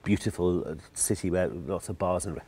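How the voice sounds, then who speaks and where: A middle-aged man from the north-east of England with a broad Geordie accent, chatting as a guest in a relaxed interview. His voice sits low and mellow, with a warm, dark tone. He tells his stories with frequent restarts and easy laughs. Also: brisk, breathy edge. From Newcastle, England. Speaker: guest choreographer. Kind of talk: casual interview chat.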